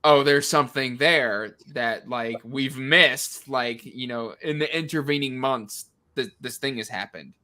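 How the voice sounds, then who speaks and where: A man with a bright, forceful voice at an average pitch talks casually about books. He is an animated panelist who slips into mocking versions of characters' lines. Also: a little slow, hardly any pauses. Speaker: book discussion panelist. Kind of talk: casual book chat. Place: indoors on a livestream video call.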